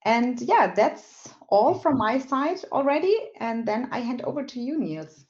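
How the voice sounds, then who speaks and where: A woman introduces a webinar as its moderator, running through housekeeping points in a friendly, brisk way with a few fillers. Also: a little high, sing-song, a little mellow. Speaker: marketing director, webinar moderator. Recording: dull lo-fi webinar audio.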